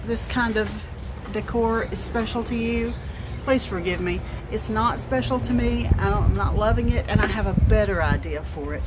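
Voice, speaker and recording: A woman's voice: medium pitch, noisy background. A woman in her fifties, chatting casually about her thrift finds, rambling with frequent restarts. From United States. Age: 50s. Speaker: thrift haul vlogger. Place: at home.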